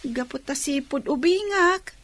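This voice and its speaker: A woman's voice: sits high, lively intonation, a little slow, a bit bright. A woman playing a poor blind orphan girl, humble and grateful, in an audio drama. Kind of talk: Filipino audio drama acting. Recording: music under the voice.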